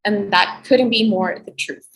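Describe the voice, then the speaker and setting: A woman's voice: mid-range voice, measured pace, a bit bright, poor audio. A young adult woman, a guest on a video-call interview, giving heartfelt, reflective advice about faith and healing. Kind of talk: earnest faith-based advice.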